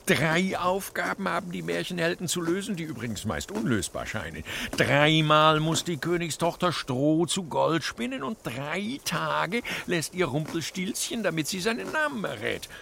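A man's voice: on the high side, expressive, normal speed, gravelly, few pauses, a bit bright, slight background noise. A man playing a fussy, pedantic storyteller and scholar who is easily flustered, in a children's radio play. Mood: lecturing. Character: fussy, indignant scholarly fairy-tale author, adult man.

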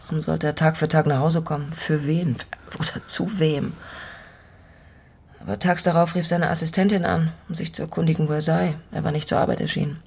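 A woman's voice: deep voice, fairly even intonation, brisk, creaky, a little mellow, slightly rough, exaggerated voice. An actress playing a lonely middle-aged woman in an intimate radio drama, playful yet haunted by her vanished father, with a reflective, teasing way of talking. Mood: grave, remembering.

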